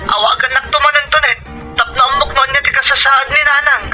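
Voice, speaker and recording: A man's voice: extremely high pitch, steady tone, a little fast, sharp tone, exaggerated voice. A man with a Filipino Ilocano accent playing a concerned, trusting husband in an audio drama, with music behind him. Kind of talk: scripted audio drama acting.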